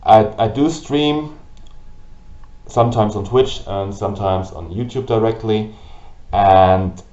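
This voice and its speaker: Man, German accent, low voice, slow halting speech, roomy dull recording. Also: warm tone. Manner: halting, with restarts and pauses. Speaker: gaming channel host. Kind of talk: frustrated rant to camera.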